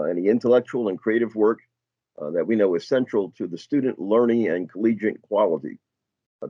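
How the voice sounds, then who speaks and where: A low-voiced man moderates a student panel, pausing often with fillers as he frames each question. His audio is dry and a bit muffled, as if over a video call. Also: no echo. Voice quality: halting, many uh fillers.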